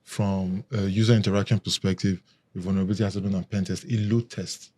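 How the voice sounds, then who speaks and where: A man's voice: deep voice, flat delivery, quick pace, a little mellow. A man, a security expert guest, explaining step by step in a casual podcast chat. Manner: explains step by step, lists questions.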